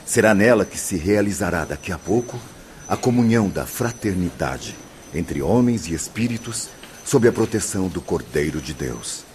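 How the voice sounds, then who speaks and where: Alceste, deep, solemn spirit guide. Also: male voice, expressive, normal speed, a little mellow, a little hiss or hum. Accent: Brazilian. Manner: formal, didactic. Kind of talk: Brazilian radio drama acting.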